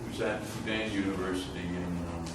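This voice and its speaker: A man gives an informal university lecture in a very echoey room, picked up at some distance from the microphone. He speaks haltingly at a middle pitch, thinking aloud and frank about what he is unsure of. Noticeable room noise sits around his voice. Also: fairly even intonation. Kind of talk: informal classroom lecture with student questions. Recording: roomy echo, distant mic, loud room noise.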